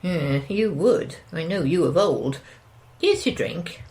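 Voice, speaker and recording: Casting: female actor as anxious middle-aged housewife for audio drama, gossipy, slightly low voice, animated intonation. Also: takes it slowly, creaky, exaggerated voice. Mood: wry, conciliatory. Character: anxious middle-aged suburban wife, gossipy, mildly exasperated. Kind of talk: naturalistic audio drama dialogue.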